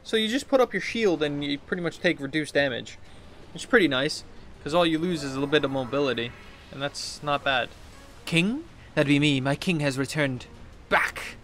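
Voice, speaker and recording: A man's voice: a little high, normal speed, fairly bright tone. Guy in his 30s doing casual gaming commentary, kinda rambling to himself, some noise and game ambience behind him. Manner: rambling, talking to himself, reading quest text aloud. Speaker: gaming video host.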